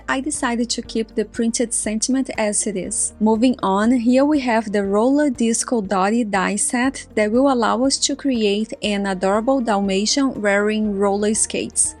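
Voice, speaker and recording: A woman with a fairly high voice narrates a crafting tutorial in calm, orderly steps. Her first language is Portuguese, and she reads a scripted voice-over. Soft music plays faintly beneath her. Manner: calm, step-by-step explaining.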